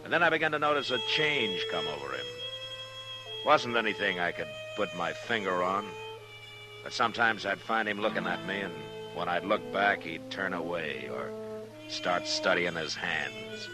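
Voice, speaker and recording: An actor playing a calm, watchful frontier marshal in a 1950s radio western, measured and plain-spoken, with an American accent. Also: male voice, low-pitched, music in the background, rough recording. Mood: reflective, uneasy. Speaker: actor playing the lead and narrator. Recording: vintage 1950s radio recording.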